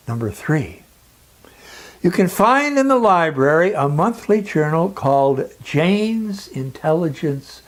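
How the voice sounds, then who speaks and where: A man delivering a scripted, persuasive lecture in a solemn, emphatic manner with dramatic pauses. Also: on the high side.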